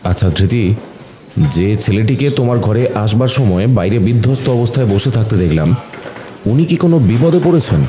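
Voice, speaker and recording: A man's voice: fairly low pitch, animated, moderate pace, warm tone, slight background noise, natural voice. A man acting a shrewd, observant doctor who helps a detective friend in an audio mystery drama. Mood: concerned. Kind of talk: Bengali audio story acting.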